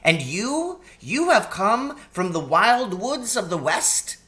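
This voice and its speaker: A man performs a nervous faun from a children's storybook, pitched high and swooping widely. He is kindly and eager to please, with courtly, stammering exclamations. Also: very animated, slow pace, sharp tone, exaggerated voice. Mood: eager, courteous.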